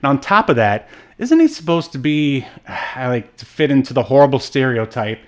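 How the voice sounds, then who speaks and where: A male podcast co-host in a relaxed film discussion. His pitch sits in the middle of the male range, and he talks in a halting, rambling manner, feeling his way through each point. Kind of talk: casual movie discussion chat.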